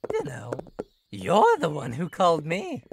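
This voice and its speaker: A woman's voice: low voice, wildly swooping pitch, measured pace, background noise, exaggerated voice. A woman voicing a playful, teasing and sinister clown-like jester demon in a horror visual novel game, with theatrical sing-song delivery. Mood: mocking, sulky. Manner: theatrical sing-song teasing, sudden swoops into breathy menace.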